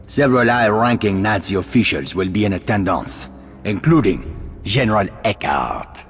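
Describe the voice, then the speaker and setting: Deep, grandiose French resistance leader. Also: male voice, big-room sound. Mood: rousing, solemn. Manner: theatrical, eloquent, rousing. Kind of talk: cinematic video game acting.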